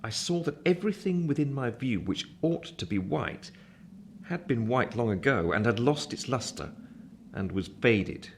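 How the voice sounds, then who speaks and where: A man's voice: mid-range voice, expressive, measured pace, vocal fry, slight background noise. A man narrating a radio play as a grown man recalling his boyhood, in a scripted literary style.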